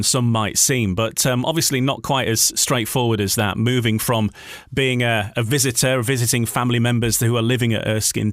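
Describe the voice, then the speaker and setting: Male radio host, mid-pitched, polite, conversational interviewing, dry sound. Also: no room sound.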